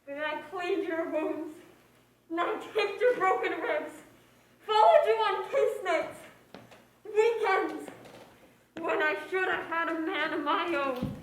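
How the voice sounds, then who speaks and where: Girl acting bitter heartbroken noir secretary, super high voice, dramatic n accusing, echoey stage. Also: takes it slowly, a little hiss or hum. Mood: bitter, wounded. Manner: emotional, accusing, dramatic delivery. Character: bitter, lovelorn woman secretary to a detective, holding a gun. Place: indoor stage, roomy echo. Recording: echoey stage recording of a school play.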